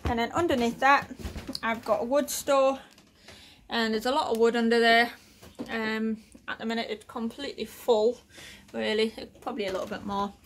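Uk woman, fairly high voice, casually showing off her woodshop, lots of ums, phone mic in a shed. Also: normal speed, a little hiss or hum. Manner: relaxed, listing items with frequent fillers. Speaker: woodworking vlogger. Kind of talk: casual workshop tour narration. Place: small timber shed workshop.

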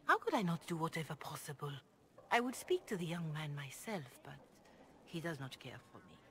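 A woman voicing a devout, compassionate older cleric in a video game, speaking in a formal, measured, earnest way. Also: low-pitched, sing-song, pushed and bright, exaggerated voice. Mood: earnest, pleading. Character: grave, devout, compassionate older Chantry mother. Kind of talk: video game dialogue acting. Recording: clear background noise and ambience.

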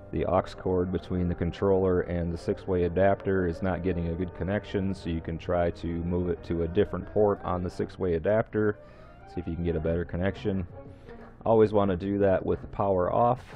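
A man's voice: low voice, mellow, highs cut off. A man hosting a how-to video series, walking through a computer task step by step in a plain voice-over. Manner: plain, step-by-step instructions with some fillers and restarts. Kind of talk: screen-recorded tech tutorial. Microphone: voice-over recorded over screen capture.

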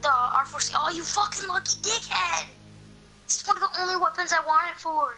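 A woman with a high-pitched voice chatting loudly and swearing with friends while gaming online. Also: brisk. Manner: loud, swearing, teasing banter. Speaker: gaming streamer. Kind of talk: casual gaming chat with friends. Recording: voice chat mixed over loud game music. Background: game music.

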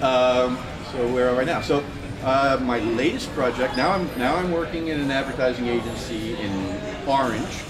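A man in his fifties giving a casual, self-deprecating talk at a meetup, laughing often as he tells stories. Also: medium pitch. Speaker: guest speaker. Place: meetup room in a store, in front of a screen. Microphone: far camera. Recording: echoey room with loud ambience.

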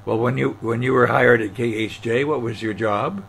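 A man's voice: medium pitch, normal speed, gravelly, a bit bright, breathy edge. A man conducting an oral history interview, asking attentive follow-up questions.

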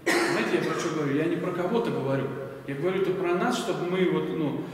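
A man's voice: a little high, quick pace, a little hiss or hum. A man in his forties preaching at a lectern in a church hall, conversational and full of fillers, asking the audience questions. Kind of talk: sermon. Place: bright church hall with large windows. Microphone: stand mic at a lectern, filmed from afar. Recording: echoey hall from far off.

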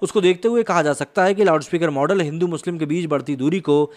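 A man's voice: a little high, quick pace. A man in his thirties from India presenting explanatory news commentary as a host, speaking into a close studio microphone. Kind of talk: news explainer commentary, part conversational, part scripted narration. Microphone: close desk microphone on a boom arm.

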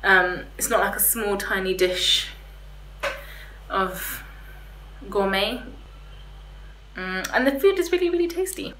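Young female vlogger, casual and a little hesitant, bright tone, recorded in an echoey room. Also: mid-range voice, expressive, slow pace, pauses often. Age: young adult. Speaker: vlogger reviewing restaurants. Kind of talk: casual chat to camera. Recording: roomy echo, faint background hiss.

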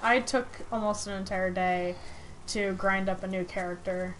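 A woman's voice: mid-range voice, fairly even intonation, normal speed, poor audio. A woman streaming a video game and chatting casually with her co-op partner. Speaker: gaming streamer. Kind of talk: casual co-op gameplay chat. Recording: loud game noise behind voice. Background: game combat sounds and ambience.

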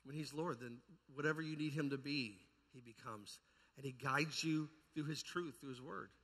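Male pastor, forceful bright delivery, fervent evangelical preaching with emphatic repetition, amplified in a long-reverb church hall. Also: mid-range voice, moderate pace, husky. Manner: puts rhetorical questions to the congregation. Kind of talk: charismatic evangelical sermon. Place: large indoor church hall.

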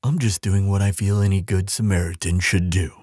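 A man playing a huge, gentle giant in an audio roleplay, soft and breathy, speaking in a soothing, doting, unhurried way to the listener. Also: low-pitched, fairly even intonation, no echo, studio quality. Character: huge gentle male giant, tender and caring. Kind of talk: audio roleplay acting, softly spoken to the listener.

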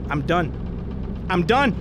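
A young man playing a VR horror game, panicking and yelling, switching between English and Spanish, and losing his voice. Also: high voice, big pitch swings, takes it slowly, very noisy. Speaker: VR gaming YouTuber. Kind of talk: loud, frantic gameplay commentary. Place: indoor room, in front of a green screen.

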